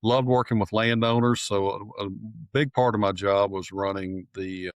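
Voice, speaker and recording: Low-voiced East Texas man. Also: little pitch movement, normal speed, dry recording. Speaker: podcast guest, nursery manager. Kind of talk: casual interview.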